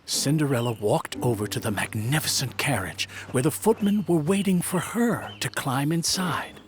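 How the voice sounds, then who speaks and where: A man narrating a scripted children's fairy tale in an expressive, dramatic storytelling manner. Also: mid-range voice, moderate pace. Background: music.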